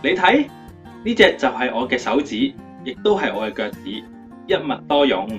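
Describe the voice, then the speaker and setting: An actor playing a cheerful, romantic young motivational speaker in a radio drama interview, playful and emphatic. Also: male voice, medium pitch, very animated, moderate pace, fairly bright tone, far from the mic, highs cut off. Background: guitar music.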